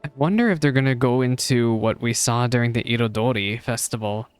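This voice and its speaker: A male streamer with a mid-pitched voice reacts casually to a game. He muses aloud in chatty asides, with faint music behind. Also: fairly quick.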